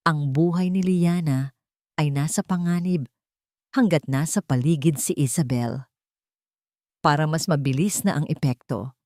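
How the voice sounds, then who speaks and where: A woman's voice: low-pitched, darkish tone, dry recording, clean studio sound. A woman reading a scripted, dramatic romance story as its narrator.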